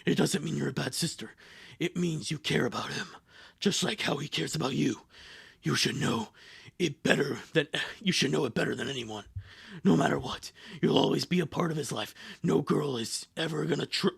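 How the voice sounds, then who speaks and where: A man voicing a gloomy, deadpan young goth sister in a fan cartoon dub, with a gravelly, husky voice and measured delivery. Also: fairly high pitch, exaggerated voice. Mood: gravely consoling.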